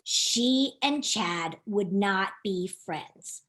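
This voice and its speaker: A woman reading a children's book aloud in an expressive, playful storytime style, chatting to young listeners as she goes. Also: medium pitch, very slow. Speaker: author reading her own children's book.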